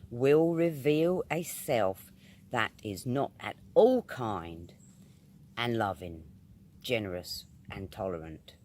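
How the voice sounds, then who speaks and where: A British man reads a scripted spiritual essay at a slow, deliberate pace. His pitch sits in a middle register and moves widely, giving the phrases an emphatic, oratorical shape. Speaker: reader of metaphysical essays.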